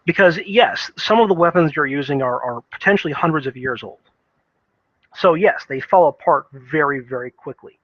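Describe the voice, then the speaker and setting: A man giving an unscripted opinion monologue about video games, thinking aloud in a halting way and repeating words as he goes. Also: a little high, no room sound, muffled recording. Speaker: gaming commentator.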